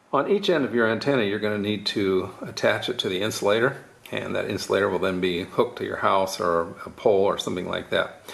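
Low, gravelly man explaining a DIY demo. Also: a bit bright. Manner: informal, explains step by step. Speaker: DIY tutorial presenter. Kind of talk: casual hands-on tutorial explanation.

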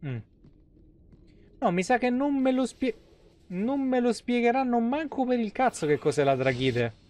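A man's voice: very high-pitched, unhurried. A young man giving casual, foul-mouthed gameplay commentary as a live streamer. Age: young adult. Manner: foul-mouthed, animated reactions to game and chat. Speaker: video game live streamer. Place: small indoor room at home.

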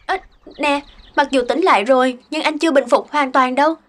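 A woman voicing a shy, kind young forest woman in a fairy-tale cartoon, gentle and hesitant. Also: high voice, fairly even intonation, brisk. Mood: caring.

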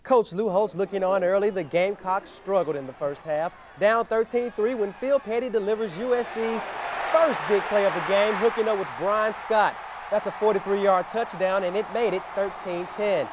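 A male sports anchor narrating football highlights with excited play-by-play energy. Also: moderate pace, background noise.

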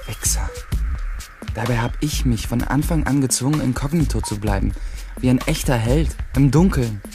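An actor plays an aloof, resentful teenage boy narrating a radio play. The voice is mid-pitched with a clear creak, and the delivery is terse and sardonic over prominent music. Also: normal speed, close to an everyday voice. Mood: bitter. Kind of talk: first-person radio drama narration.

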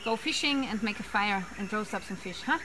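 A woman's voice: medium pitch, expressive, fairly quick. A woman in her thirties chatting casually as host of an outdoor vlog. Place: outdoors in dense jungle by a river. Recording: clear insect, bird and forest noise.

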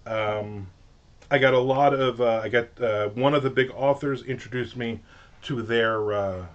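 A man's voice: mid-range voice, fairly even intonation, measured pace, lo-fi sound. A middle-aged man with a New Jersey accent, chatting casually and rambling on a livestream from his home office. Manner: rambling, chatty, lots of fillers and restarts. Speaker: author hosting a livestream. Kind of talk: casual chat. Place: home office with shelves of collectibles.

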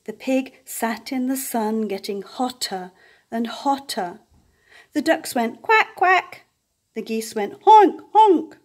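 An older woman reads a children's story aloud at a leisurely pace. Her fairly high voice rises and falls with great liveliness, and she gives the animal sounds and key words playful emphasis. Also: animated, slow pace. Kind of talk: reading a picture book aloud. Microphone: camera above the book on a table.

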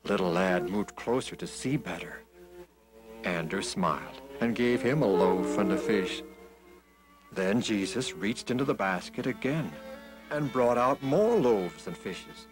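A man narrating a children's Bible story in an expressive, deliberate manner. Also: fairly low pitch, over music, poor audio.

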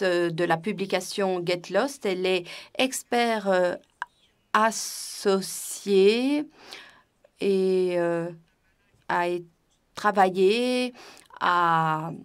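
A woman giving simultaneous interpretation of an online conference, pausing often with hesitant fillers as she searches for words. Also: medium pitch, clean tone. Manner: hesitant, frequent filler pauses.

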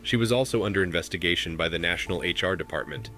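A man in his thirties hosting a commentary show, reading posts aloud in a steady, measured way. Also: low voice, music in the background. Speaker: commentary host.

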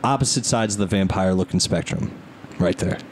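A man's voice: sits low, fairly quick, a little hiss or hum. A thirty-year-old man hosting a casual comedy chat in a boisterous, profane, joking manner. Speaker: podcast host.

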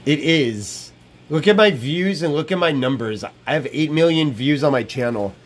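A man with a slightly high voice talks loosely and profanely on a live stream from a small room. He circles back and repeats his points, bragging and riffing with chat. His earbud mic sits close to his mouth. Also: lively intonation, moderate pace. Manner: brash, profane, repeats himself. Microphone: wired earbud headset mic.

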